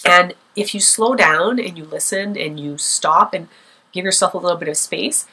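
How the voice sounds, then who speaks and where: A woman's voice: lowish voice, normal speed, sharp tone, breathy edge, poor audio. A young woman vlogger with a cold, sniffling a little, talking casually and thoughtfully to camera with many fillers.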